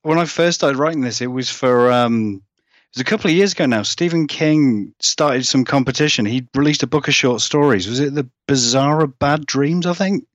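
A man's voice: medium pitch, moderate pace, dry recording, muffled recording. A man, a guest author chatting casually in a rambling, self-deprecating way. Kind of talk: casual interview chat.

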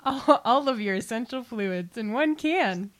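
A woman's voice: on the high side, lively intonation, measured pace, a crowd in the background. A woman co-hosting a podcast in casual, joking chat. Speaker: podcast co-host.

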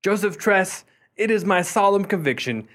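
A man playing a covetous, easily affronted gentleman collector in a radio play, theatrical and emphatic. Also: high voice, expressive, normal speed. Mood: indignant. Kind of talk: old-fashioned radio play acting.

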